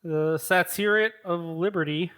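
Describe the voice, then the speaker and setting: A woman's voice: fairly low pitch, a little slow, fairly bright tone, smooth. A young woman hosting a casual podcast, teasing her guest, laughing along and reading out listener questions. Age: young adult. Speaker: podcast host.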